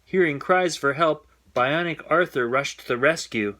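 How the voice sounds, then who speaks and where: A man reading a children's bedtime story aloud in an expressive storytelling style. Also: on the high side, normal speed.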